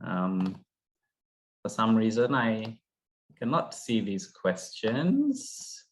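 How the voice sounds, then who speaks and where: A middle-aged man from Uganda moderating an online panel, speaking haltingly with many fillers and restarts over a video call. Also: fairly low pitch, sing-song. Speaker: session moderator.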